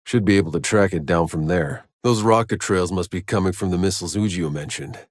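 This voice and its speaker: Gruff, sardonic game mercenary: low register, terse and wry delivery, dry studio sound. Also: male voice, a little fast, dry recording. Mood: dry, determined. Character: gruff, sardonic male mercenary survivor on a hostile planet. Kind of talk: video game voice lines.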